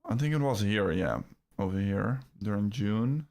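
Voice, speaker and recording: Young Dutch-accented male streamer, low-pitched and gravelly, rambling casual chat, slightly under the weather. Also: darkish tone. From Netherlands. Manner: rambling, halting, with frequent fillers and restarts. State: feeling a little ill. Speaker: crypto livestream host.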